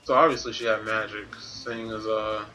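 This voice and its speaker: A man's voice: fairly low pitch, little pitch movement, moderate pace, sharp tone, rough recording. A man streaming a video game, musing aloud in casual, slangy commentary. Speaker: gaming streamer. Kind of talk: casual let's play commentary. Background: game music.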